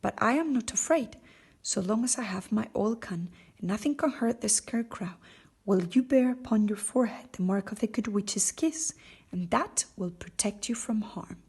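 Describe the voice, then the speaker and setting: A woman voicing a kindly, protective male tin man from a storybook in an earnest, measured way. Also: medium pitch, a little mellow, slightly rough. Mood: reassuring. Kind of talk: storybook character voice.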